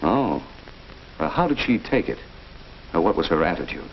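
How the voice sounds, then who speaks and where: Deep-voiced, fatherly 1940s American doctor. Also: sing-song. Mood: concerned. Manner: didactic, measured, deliberate. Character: kindly, fatherly family doctor explaining patiently. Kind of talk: 1940s instructional film acting. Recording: muffled, hissy 1940s film soundtrack.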